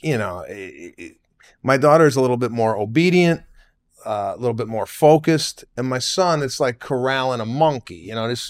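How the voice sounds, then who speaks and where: A man hosting a casual talk show, a comedian and father who rambles with jokey comparisons. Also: medium pitch. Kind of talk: casual chat.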